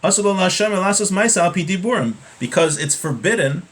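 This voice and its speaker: A man's voice: sits high, lively intonation, fast talker. A man in his thirties giving an informal religious lesson, explaining conversationally and repeating key points, recorded at a desk in a small room at home. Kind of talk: informal religious lesson, reading Hebrew text and explaining it in English.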